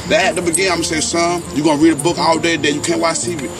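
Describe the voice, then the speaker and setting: A man's voice: on the high side, level tone, very fast. A man, a father, talking earnestly and emphatically about his personal views. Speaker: parent. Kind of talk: earnest personal talk to camera. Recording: loud music, voice off mic. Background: loud hip hop music.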